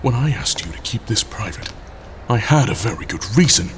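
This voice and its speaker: A man's voice: mid-range voice, animated, brisk, a little hiss or hum, close to an everyday voice. An actor playing a proud, guarded male paladin leader who speaks tersely. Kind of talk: audio drama acting. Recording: music bed underneath.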